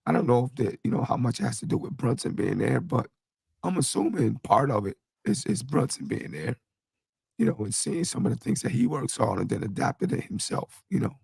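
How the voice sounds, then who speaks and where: A hoarse man hosts a casual fan podcast, his strained voice very gravelly and husky. He talks in an animated, rambling way, with a lively rise and fall. The sound is dry and close. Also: medium pitch, fairly quick, dry recording. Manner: animated, hype greetings, rambling. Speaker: podcast host.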